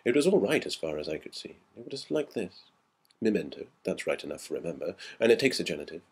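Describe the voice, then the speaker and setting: Man playing a husky, chatty, puzzled schoolboy. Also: low voice, very animated, quick pace, darkish tone, heavily acted. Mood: evasive, casual. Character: schoolboy recounting an odd episode, puzzled and earnest. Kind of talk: audiobook character dialogue in a ghost story.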